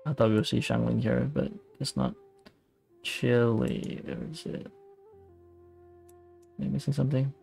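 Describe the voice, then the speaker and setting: A man giving casual, low-key gameplay commentary as a streamer, half muttering to himself. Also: lively intonation, takes it slowly, vocal fry, long pauses, a little mellow. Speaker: game streamer. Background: game music.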